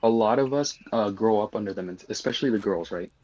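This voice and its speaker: A man's voice: fairly low pitch, quick pace, darkish tone, muffled recording, a little hiss or hum. A man giving earnest, cautionary advice in a casual discussion. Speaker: guest.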